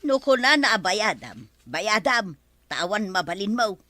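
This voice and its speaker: A woman's voice: mid-range voice, measured pace, no room sound. A woman playing a nagging, exasperated villager in a radio comedy drama, with an Ilocano accent and a scolding manner. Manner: scolding, animated. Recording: music under the voice. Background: music.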